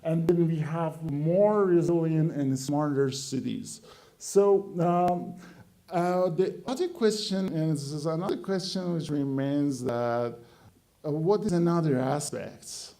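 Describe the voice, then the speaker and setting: Halting man giving a conference talk. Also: fairly high pitch, warm tone. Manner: halting, many fillers and restarts. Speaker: conference speaker. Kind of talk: conference presentation. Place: conference hall.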